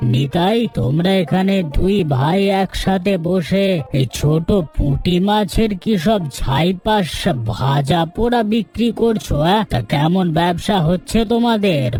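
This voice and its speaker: A woman's voice: lowish voice, expressive, measured pace, warm tone, soft background music. A woman voicing a sly, condescending village man in a cartoon, with a mocking, needling manner. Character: sly, condescending village man eyeing poor brothers' land. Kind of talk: Bengali cartoon dialogue acting.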